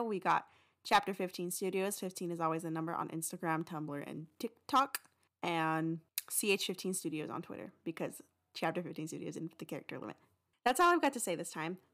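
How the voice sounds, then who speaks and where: A woman's voice: on the low side, fairly quick, fairly bright tone. A young woman hosting a podcast, making casual announcements in a chatty, informal way. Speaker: podcast host and writer. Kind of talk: casual mid-episode announcement.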